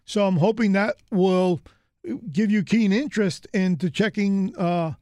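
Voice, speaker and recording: A man's voice: sits high, measured pace, dark tone, no room sound, studio quality. A man from the United States hosting an interview in a rambling, chatty way, full of fillers and restarts. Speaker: host. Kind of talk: casual interview chat.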